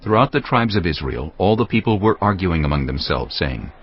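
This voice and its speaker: A man narrating scripture in a solemn, measured, scripted dramatic style. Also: deep voice, very animated, muffled recording, faint music behind.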